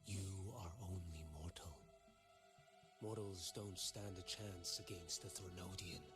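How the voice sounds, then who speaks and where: An actor playing a stern, cold warrior in a fantasy game, grave and accusing in a measured delivery. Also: male voice, sits low, fairly even intonation, over music. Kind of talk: dramatic fantasy game voice acting.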